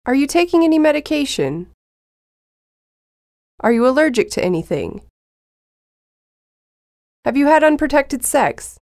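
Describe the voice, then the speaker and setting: A woman with a slightly high, lively pitch reads practice phrases at a deliberately slow pace. Her delivery is careful and even, like a language lesson track, and the sound is dry and close. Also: vocal fry, no echo. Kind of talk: slow, clear phrase reading for learners.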